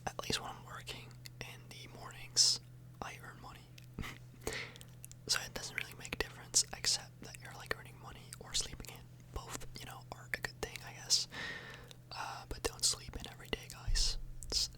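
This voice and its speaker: A woman speaks entirely in a soft, breathy whisper. She rambles casually in an ASMR style, hesitating and correcting herself as she chats to her viewers. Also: moderate pace, low-quality recording. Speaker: ASMR YouTuber, host. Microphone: microphone a little further away than usual.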